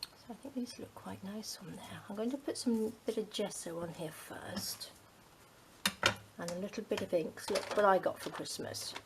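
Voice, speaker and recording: A middle-aged woman from the UK, chatting casually to her craft channel, rambling with many ums and dropping to a soft near-whisper as she works. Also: mid-range voice, moderate pace, very noisy. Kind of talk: casual chat while crafting.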